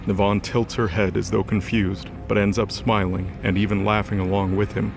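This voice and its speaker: A man's voice: fairly low pitch, little pitch movement, moderate pace, vocal fry. A man narrating a scripted fantasy drama as a storyteller. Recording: music under the voice.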